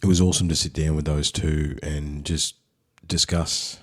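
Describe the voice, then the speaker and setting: Australian male host, husky and a bit under the weather, casual solo intro, very deep tone. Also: flat delivery, moderate pace. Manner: laid-back, candid, chatty. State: unwell. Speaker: podcast host.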